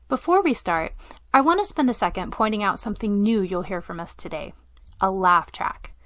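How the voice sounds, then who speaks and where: A woman's voice: mid-range voice, a bit bright, no echo. A woman hosting a radio theater program, reading a scripted introduction. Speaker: host and director.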